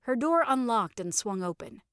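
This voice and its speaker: A woman narrating scripted audio fiction and podcast credits with an expressive, wry storytelling manner. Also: a little high, moderate pace, clean tone, dry recording. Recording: dry recording with music behind. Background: music.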